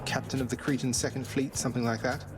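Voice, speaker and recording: An actor playing a cool young underworld prince in a video game, speaking in a measured, polite, dry way. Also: male voice, mid-range voice, flat delivery, music in the background, natural voice, poor audio. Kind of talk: video game character dialogue.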